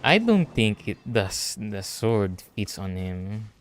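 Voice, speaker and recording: A man's voice: fairly low pitch, sing-song, slow pace, slight background noise. A man from the Philippines with a Filipino accent, thinking aloud in casual livestream game commentary. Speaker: streamer. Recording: game music behind the voice. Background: video game music.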